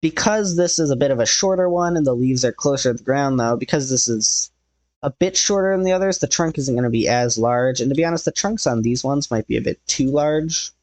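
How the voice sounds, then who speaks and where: Guy talking through a game build tutorial, kinda hoarse, losing his voice, dry close mic. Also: mid-range voice, brisk, no room sound. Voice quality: slightly hoarse. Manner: relaxed, thinking aloud while building. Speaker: tutorial host.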